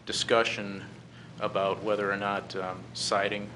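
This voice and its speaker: A middle-aged American man giving a halting, measured formal briefing at a podium microphone. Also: lowish voice, flat delivery. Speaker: presenter to a city council. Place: council chamber, standing at a podium. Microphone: podium gooseneck microphone. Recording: clearly noisy meeting-room recording.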